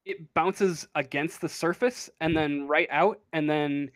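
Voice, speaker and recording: A game master narrating a tabletop roleplaying game in a casual, halting, conversational way. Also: mid-range voice, fairly bright tone, dry recording.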